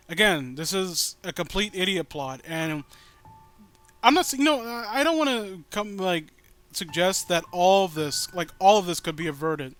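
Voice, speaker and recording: A man's voice: a little high, fairly bright tone, clean tone. A man reading a story aloud with exasperated, incredulous, rambling and sweary commentary. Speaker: host reading and critiquing amateur horror fan fiction.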